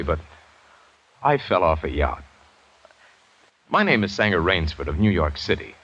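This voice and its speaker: An actor playing a shipwrecked American big-game hunter who narrates his ordeal dramatically in an old-time radio drama. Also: deep voice. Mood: polite, uneasy. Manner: dramatic, vivid storytelling. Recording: dull vintage radio recording, music behind.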